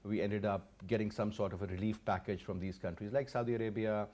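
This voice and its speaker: A man's voice: lowish voice, steady tone, fast, darkish tone. Emphatic male host. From Pakistan. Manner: emphatic, questioning, repeats words. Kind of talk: interviewing a guest.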